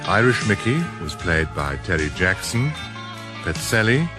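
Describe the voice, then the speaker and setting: A male announcer reading radio drama credits in a measured, formal way. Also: sits low, very animated. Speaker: continuity announcer. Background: music.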